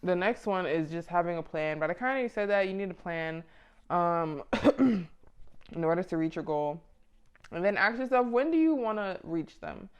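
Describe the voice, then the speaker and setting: A woman's voice: fairly low pitch, animated, moderate pace, smooth, highs cut off. A woman in her mid twenties chatting casually and motivationally to camera, with a blunt, informal, slangy manner. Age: mid 20s. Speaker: vlogger giving self-improvement advice.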